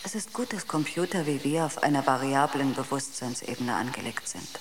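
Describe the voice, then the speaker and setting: Female ship's computer: low register, clinical, precise, argumentative, radio play with noisy sound. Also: expressive, a little fast. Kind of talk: radio drama acting.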